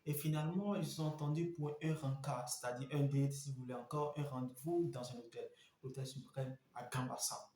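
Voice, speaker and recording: A young man telling a story in a casual vlog, animated and repetitive, speaking straight to his viewers. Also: medium pitch, a little fast, reverberant, a little hiss or hum.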